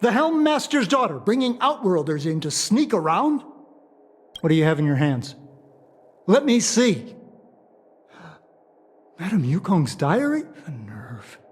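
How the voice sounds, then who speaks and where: An actor playing a fussy, devoted subordinate officer in a video game dub, speaking in a theatrical, officious way. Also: male voice, high voice, big pitch swings, a little slow, pauses often, husky, heavily acted. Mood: indignant.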